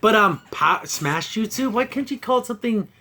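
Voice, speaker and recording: A high-pitched male voice in a casual review chat. He recaps as a podcast host would, with an exasperated, mocking edge. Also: animated, moderate pace, bright, forceful tone. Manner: exasperated, scornful.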